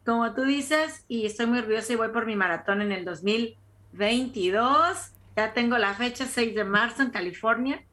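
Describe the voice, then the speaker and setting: Mexican lady podcast host, kinda high bright voice, laughs telling her stories. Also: normal speed. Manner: lively, laughing anecdotes. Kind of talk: casual chat.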